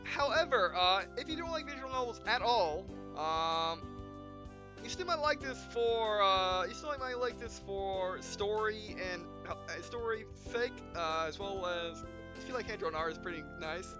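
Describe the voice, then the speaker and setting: Male let's-play host, high-pitched, loose and rambling, with game music playing underneath. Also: animated, unhurried. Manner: rambling, restarts phrases often. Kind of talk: casual game commentary.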